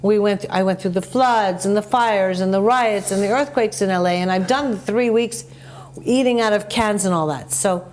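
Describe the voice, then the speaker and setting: Lady talk show host chatting away, animated and emphatic storyteller, some noise and hum behind her. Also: mid-range voice, normal speed. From North America. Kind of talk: conversational TV interview, telling anecdotes.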